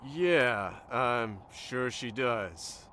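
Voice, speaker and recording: Man acting defiant, forceful stranded space soldier. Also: mid-range voice, animated, extremely slow, clear, resonant tone, heavily acted. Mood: wary, subdued. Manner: blunt, combative, swearing. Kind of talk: audio drama acting.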